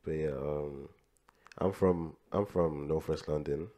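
A man's voice: very deep voice, steady tone, measured pace, warm tone. A young man who grew up in Dublin and is of Nigerian heritage, co-hosting a casual podcast chat. He talks in a laid-back way, full of filler phrases. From grew up in Dublin, Ireland; Nigerian. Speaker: podcast co-host.

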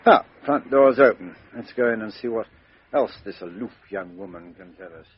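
A blunt police inspector. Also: male voice, fairly low pitch, slightly rough. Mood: questioning. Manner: brisk, direct questioning. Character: blunt middle-aged male police inspector. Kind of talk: 1950s radio drama acting. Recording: dull lo-fi old radio recording.

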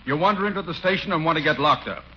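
An actor playing a pushy, wisecracking police desk sergeant in a 1940s radio comedy. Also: male voice, on the high side, racing, slightly rough. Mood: needling. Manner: brash, nagging. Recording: muffled, hissy 1940s radio recording.

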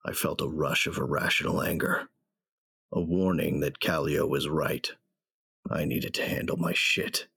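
A man acting a grieving, bitter bounty hunter who narrates his memories in a reflective, rough-spoken way for an audio drama. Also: a bass voice, fairly even intonation, unhurried, bright, forceful tone, dry recording, clean studio sound, exaggerated voice. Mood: somber reflection.

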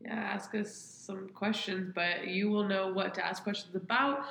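A woman's voice: medium pitch, fairly even intonation, a little slow, creaky, fairly bright tone, echoey room, slight background noise. A young adult woman with a cold co-hosting a podcast, sounding nasal and stuffy while chatting casually. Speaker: podcast co-host.

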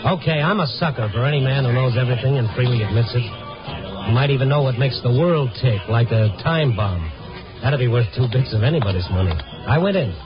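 An American actor playing a wry, world-weary newspaper reporter, narrating in a conversational hardboiled 1950s radio drama style. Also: male voice, medium pitch, expressive, fairly quick, close to an everyday voice. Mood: wry, musing. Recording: dull vintage radio recording, music behind.

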